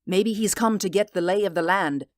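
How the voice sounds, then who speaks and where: A woman's voice: medium pitch, moderate pace, no echo, studio quality, natural voice. A woman voicing a suspicious, sarcastic sister who guards her apartment in a radio drama.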